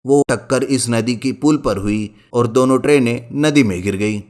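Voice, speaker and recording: A man voicing a wise, helpful old village uncle telling young men a tale in an animated storybook. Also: mid-range voice, normal speed, natural voice. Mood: solemn, encouraging. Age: older.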